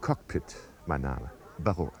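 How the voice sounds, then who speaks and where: An actor playing an oily, cowardly, flirtatious swindler of a baron, affected and formal, in a 1950s German radio drama. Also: male voice, extremely low pitch, expressive, measured pace, vocal fry, a little mellow, exaggerated voice. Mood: smug flirting.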